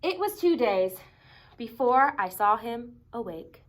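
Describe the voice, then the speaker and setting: A woman reading a children's picture book aloud expressively, with dramatic emphasis and deliberate pauses. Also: fairly high pitch, sing-song. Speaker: storybook reader.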